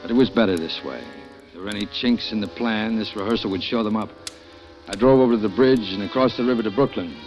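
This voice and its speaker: A man playing a smooth, coaxing schemer who talks down to a young woman, in a 1940s radio drama. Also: lowish voice, fast, faint music behind, close to an everyday voice. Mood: narrating. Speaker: lead actor. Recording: dull lo-fi 1940s radio broadcast.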